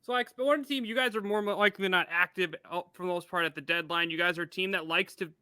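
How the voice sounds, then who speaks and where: Male sports interviewer. High pitch, dry close sound, conversational questioning. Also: fast talker, sharp tone, clean tone, no room sound. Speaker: sports show host, interviewer.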